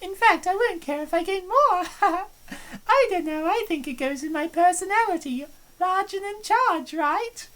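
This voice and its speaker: A woman's voice: extremely high pitch, animated, unhurried, a bit bright, exaggerated voice. A woman voicing a breezy, self-assured young woman. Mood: cheerful, self-assured. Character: confident, cheerful large young woman. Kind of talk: fan voice-over of a visual novel.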